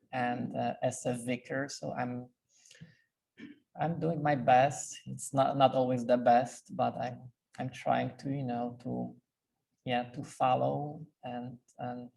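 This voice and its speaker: A man from Poland with a Polish accent, a priest speaking as a guest and answering questions in a hesitant, halting way with many restarts. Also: medium pitch, gravelly, darkish tone, poor audio. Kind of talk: casual talk with questions. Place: club meeting room.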